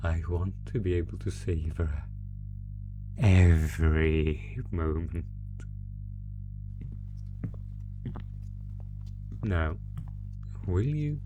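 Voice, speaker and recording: A man voicing a sadistic, theatrical monster who taunts a prisoner in a horror roleplay, talking with drawn-out pauses. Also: sits low, lively intonation, over music. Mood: gloating, taunting. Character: sadistic, gloating male monster stalking its prisoner through a maze. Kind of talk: ASMR horror roleplay audio drama.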